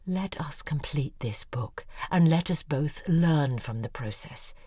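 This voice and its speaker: A woman's voice: deep voice, expressive, a little slow, mellow, dry recording. A woman, playing a neurotic, desperate novelist who speaks confessionally and often drops into a whisper. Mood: pleading. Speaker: writer confiding in her ghostwriter. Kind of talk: audio drama acting. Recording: dry studio voice with faint music.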